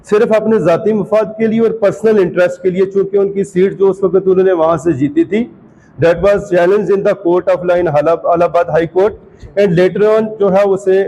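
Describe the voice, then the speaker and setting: High-pitched, mellow man at a press conference. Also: poor audio. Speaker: political party speaker at press conference. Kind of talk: press conference statement, mixing Hindi and English.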